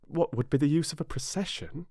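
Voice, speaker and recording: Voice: medium pitch, fairly quick, mellow, slightly rough, no echo, studio quality, voice pushed up. A storyteller voicing a young girl who is polite but plucky and decided. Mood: thoughtful.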